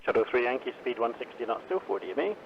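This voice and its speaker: Very fast-talking male air traffic controller on radio. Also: medium pitch, animated. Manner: terse, procedural. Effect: radio transmission. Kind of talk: rapid clipped radio instructions. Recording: muffled air traffic radio, faint noise.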